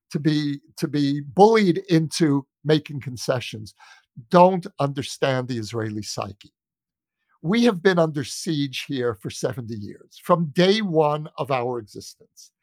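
An American man in an earnest political discussion, speaking as a guest with a deliberate, emphatic manner that repeats phrases for weight. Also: fairly high pitch, no echo. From United States. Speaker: journalist and author interviewed as guest.

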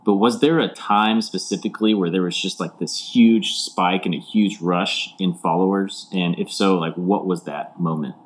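A man's voice: low-pitched, fairly even intonation, moderate pace, few pauses. A man hosting an interview, asking questions in a friendly, loose way with frequent "like". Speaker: host.

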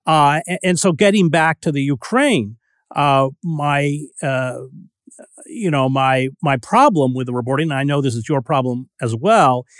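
A man's voice: on the high side, a little slow, fairly bright tone, clear, resonant tone, no room sound, studio quality. A man hosting a podcast interview, speaking in blunt, emphatic, declarative statements. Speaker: podcast host. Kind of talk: interview conversation.

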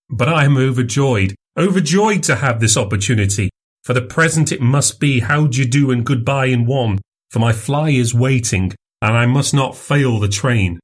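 A man doing a flustered, smooth-talking old doctor. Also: mid-range voice, voice pushed up. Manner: hurried, placating, fussy politeness. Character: flustered wealthy older male doctor, smooth and evasive.

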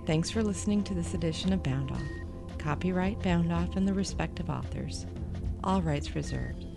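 A woman's voice: lowish voice, vocal fry. A woman hosting a podcast, reading a scripted closing announcement. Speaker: podcast host. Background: music behind the voice.

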